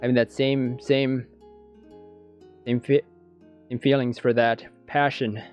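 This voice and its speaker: A man reading a visual novel aloud in a let's play, breaking off for halting, stammering reactions. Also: mid-range voice, level tone, a little mellow. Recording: dull lo-fi audio. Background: guitar background music.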